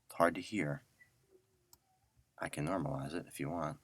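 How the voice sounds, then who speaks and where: A man's voice: rumbling low, fairly even intonation, moderate pace, husky, dry recording. A man giving a casual audio editing tutorial, informally muttering to himself as he works. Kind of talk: casual screen-recorded software tutorial.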